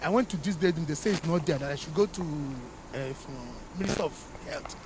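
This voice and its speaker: Man, Nigerian accent, quick speech, somewhat high voice, outdoor interview, loud traffic behind. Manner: detailed, steady storytelling. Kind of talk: street interview account. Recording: street noise with passing vehicles.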